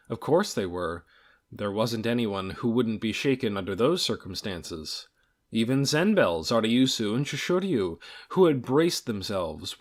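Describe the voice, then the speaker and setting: Man narrating a fantasy novel, dramatic and measured. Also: mid-range voice. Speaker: audiobook reader.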